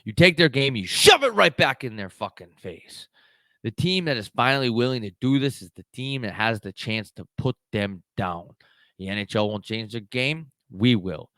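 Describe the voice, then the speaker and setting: A man playing a gruff, demanding hockey coach in a one-man film reenactment, commanding and repetitive as he drives his players. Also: fairly low pitch, fairly bright tone, no room sound.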